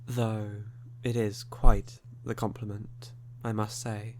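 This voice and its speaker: Slow-talking, suave vampire played by a man. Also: on the low side, level tone, a little mellow, a little hiss or hum. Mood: flirtatious, suave. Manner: courtly, unhurried, teasing, formal wording. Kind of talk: ASMR audio roleplay.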